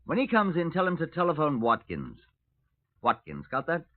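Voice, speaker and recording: An actor playing a brisk, wry British Scotland Yard superintendent in a 1950s radio crime drama, authoritative and dry. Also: male voice, fairly high pitch, huge pitch swings. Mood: brisk, urgent. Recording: tinny, noisy old radio recording.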